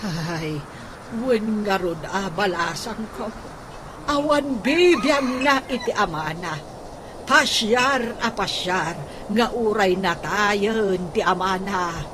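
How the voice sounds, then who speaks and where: A woman playing an excitable older household maid in a comedic radio drama, with a melodramatic, exclaiming way of talking. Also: medium pitch, takes it slowly. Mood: gossipy, disapproving. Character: excitable older household maid fretting over unpaid wages. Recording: noisy radio drama recording.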